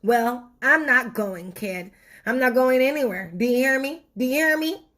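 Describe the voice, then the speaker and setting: A woman's voice: high-pitched, moderate pace, fairly bright tone, pitched above the performer's own voice. A woman voicing a bossy sweet tooth from a storybook, whining, pleading and wailing for sugar in a melodramatic way. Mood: defiant.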